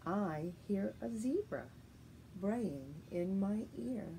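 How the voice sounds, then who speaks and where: A middle-aged woman, a teacher reading a picture book aloud to young children in a deliberate, sing-song, expressive way, recorded at home. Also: medium pitch, poor audio. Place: living room at home, indoors. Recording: faint steady noise, home room recording.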